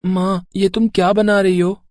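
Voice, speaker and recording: A man's voice: high-pitched, little pitch movement, normal speed, a little mellow, dry recording, clean studio sound, voice pushed up, close to an everyday voice. A man voicing a curious, eager little boy in a cartoon moral story, childlike and inquisitive.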